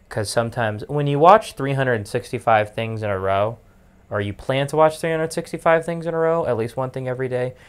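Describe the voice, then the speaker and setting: A young man in his twenties, losing his voice, rambling in a relaxed way as host of his own podcast from his bed at home. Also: mid-range voice. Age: 20s. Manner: relaxed, meandering, many fillers. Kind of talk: casual solo podcast monologue.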